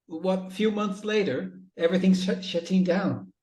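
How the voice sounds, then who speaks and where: A man's voice: high-pitched, normal speed, a little mellow, echoey room. A man hosting an interview, encouraging his guest with frequent murmurs of agreement and follow-up questions. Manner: encouraging, frequent backchannel "mm-hmm". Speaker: interview host. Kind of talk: casual video-call interview.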